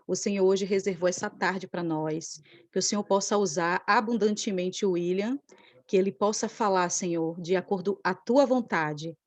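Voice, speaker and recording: A woman's voice: medium pitch, no room sound. A woman with a Brazilian accent leading a devotional spoken prayer for a church group.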